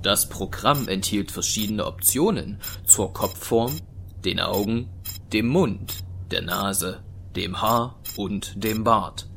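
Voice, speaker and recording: A man narrating a scripted German audio drama with measured storytelling. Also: fairly low pitch, creaky, a bit bright, a little hiss or hum.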